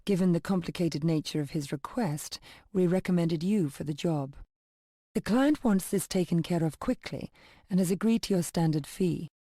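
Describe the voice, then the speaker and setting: An actress playing a polished intelligence handler in a video game, giving formal, measured, businesslike briefings. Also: female voice, fairly low pitch, warm tone, no echo, studio quality, close to an everyday voice. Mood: composed, briefing. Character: composed, polished female intelligence agency handler.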